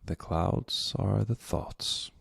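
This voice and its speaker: Male meditation guide, gentle and very slow, long pauses, close dry sound. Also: on the low side, gravelly, slightly rough, no room sound.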